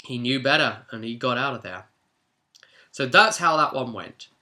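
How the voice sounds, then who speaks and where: Bright-voiced British man recapping a game. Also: medium pitch, animated, normal speed. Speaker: game master and host recapping a tabletop role-play session. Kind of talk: casual spoken recap, storytelling.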